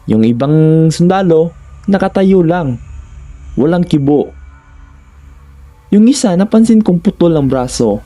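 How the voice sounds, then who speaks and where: A Filipino man narrates a scary first-person tale in a soft, mellow voice pitched a little high for a man. He keeps to a measured delivery, setting out short phrases for suspense. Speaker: horror story narrator.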